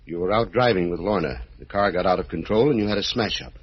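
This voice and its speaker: An actor playing a sober, concerned man in an old-time radio drama, placating and husky. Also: low-pitched, expressive, fast talker. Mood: calm, careful. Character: grave, concerned man breaking bad news gently. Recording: muffled vintage radio recording.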